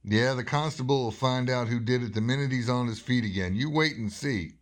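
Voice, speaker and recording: Man acting gruff small town doc in a radio horror play, medium pitch, brusque, scolding, not buying it. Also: brisk, natural voice. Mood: irritated, uneasy. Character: gruff, skeptical small-town doctor, impatient.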